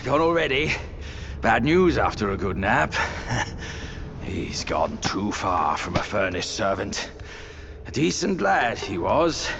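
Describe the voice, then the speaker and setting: Brash game creature warrior: swaggering, sardonic, slow-paced, very animated pitch. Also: male voice, vocal fry, background noise, full character voice. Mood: wry, musing. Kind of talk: video game character acting.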